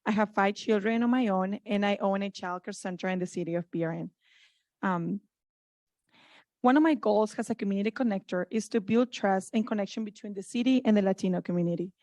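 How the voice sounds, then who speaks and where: Mexican-accented woman with a steady, prepared delivery. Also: medium pitch, a little fast, no echo. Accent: Mexican Spanish. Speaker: child care owner and community connector.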